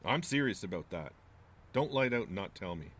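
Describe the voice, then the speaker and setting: A gruff, low-voiced small-town landlord. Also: male voice, lively intonation, normal speed, fairly bright tone. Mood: stern, curt. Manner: curt, ominous, folksy. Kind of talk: audio drama acting.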